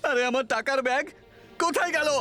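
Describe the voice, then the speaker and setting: A man's voice: very high voice, fast talker. A man voicing a panicked cartoon robbery victim, crying out in alarm for help. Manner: alarmed shouting. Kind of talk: exaggerated cartoon dub acting. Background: background music.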